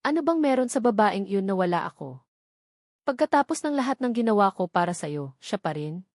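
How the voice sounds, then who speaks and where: A woman narrator plays a jilted, possessive fiancée from a Filipino romance audio drama. She is a schemer given to false sweetness, with a Filipino accent and a medium-pitched voice. The sound is close and dry. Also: big pitch swings, a little fast, darkish tone, dry recording, natural voice. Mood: angry, demanding.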